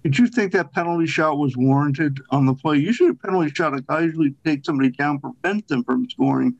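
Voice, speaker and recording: Rambling reporter on dull dry call audio. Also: male voice, fairly high pitch, fast talker, creaky, no room sound. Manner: rambling, piles up clauses in his questions. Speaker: sports reporter. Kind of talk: press conference questions.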